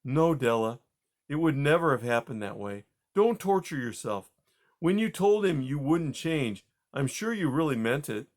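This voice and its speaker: A man voicing a calm husband who soothes his wife in a measured, firm, somewhat patronizing way. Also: medium pitch, pitched above the performer's own voice, close to an everyday voice. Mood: soothing.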